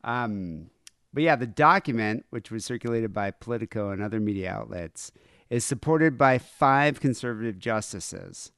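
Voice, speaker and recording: A young man co-hosting a podcast, chatting sarcastically about politics, swearing and halting with fillers. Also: lowish voice, expressive, vocal fry, fairly bright tone, dry recording, studio quality. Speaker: podcast co-host. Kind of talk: casual political chat.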